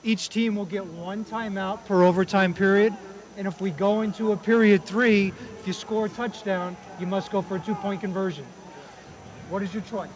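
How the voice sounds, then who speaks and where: A man as referee, giving brisk, clipped procedural rulings and instructions. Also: high-pitched, fairly even intonation. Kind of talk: on-field official announcements and instructions. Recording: muffled noisy stadium field microphone.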